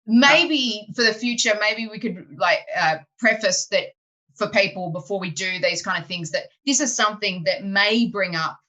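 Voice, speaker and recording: Woman host, low pitch, bright forceful tone, chatty video-call interview. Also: poor audio. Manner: animated, chatty, many fillers like "you know". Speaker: podcast host and improv teacher. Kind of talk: casual interview chat.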